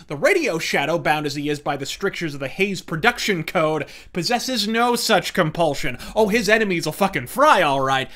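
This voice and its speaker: Bright, high-voiced man delivering florid review narration. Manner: verbose, theatrical, profane. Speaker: video reviewer, host.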